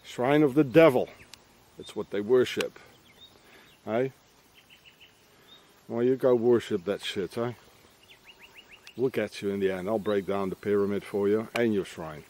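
A man's voice: on the low side, unhurried, long pauses, a little mellow. A middle-aged man rambling and ranting in a meandering monologue as he walks a countryside path, often tagging on questions. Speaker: vlogger. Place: outdoors in the countryside, walking a path.

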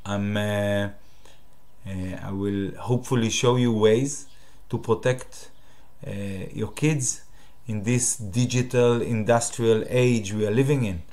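A man from Israel with a Hebrew accent, a native Hebrew speaker hosting his own channel and talking to the camera in a direct, halting way with many fillers. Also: fairly low pitch, fairly even intonation, darkish tone. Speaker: channel host. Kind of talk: solo talk to camera.

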